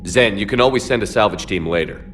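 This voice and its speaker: An actor playing a steady, authoritative military commander in a sci-fi game, terse and plain-spoken. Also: male voice, fairly low pitch, fast, a little hiss or hum, lightly acted. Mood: matter-of-fact. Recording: long reverb, faint music behind.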